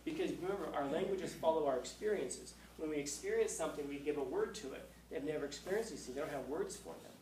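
A man in his fifties, a high school teacher lecturing a class, acting out scenes with playful voices. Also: quick pace, hardly any pauses, darkish tone, a little hiss or hum. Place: classroom, indoors. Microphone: far camera. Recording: echoey classroom from far off.

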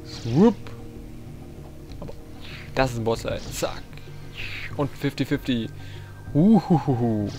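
A young man giving casual, excitable video game commentary, talking to himself with playful exclamations. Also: medium pitch, normal speed, long pauses, faint music behind. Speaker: let's play host.

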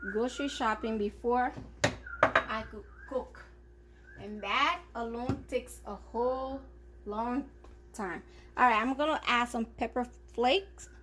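A woman's voice: fairly high pitch, fairly bright tone, a little hiss or hum. A woman chatting casually to her viewers while she cooks in her home kitchen, upbeat and talkative. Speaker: cooking vlogger. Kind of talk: casual cooking chat.

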